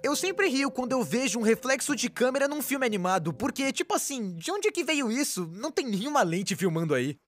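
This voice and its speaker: A woman voicing an excitable, cheeky young cartoon YouTuber, narrating an explainer in a chatty, jokey way that speaks straight to the viewer. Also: on the high side, fairly quick, hardly any pauses, soft background music. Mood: chatty, enthusiastic. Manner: chatty, exclamatory, addresses the viewer directly.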